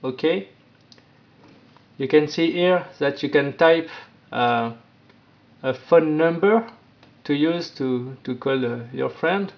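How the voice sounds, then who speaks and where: A man presenting an informal step-by-step app tutorial, with halting, non-native phrasing. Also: fairly high pitch. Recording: dull, lo-fi sound.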